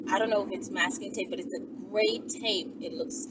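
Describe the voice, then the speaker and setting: A woman's voice: medium pitch, fairly even intonation, brisk. A woman hosting her channel in an emphatic, repetitive casual monologue to her viewers. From Bahamas. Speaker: channel host. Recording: distant mic, loud vehicle-like background noise.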